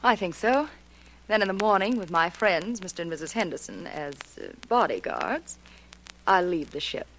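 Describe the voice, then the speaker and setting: A woman's voice: lowish voice, very animated, normal speed, a bit bright. An actress playing a cool, bold married woman gambler in a 1940s radio drama, self-assured and challenging. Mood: daring. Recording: noisy 1940s radio recording.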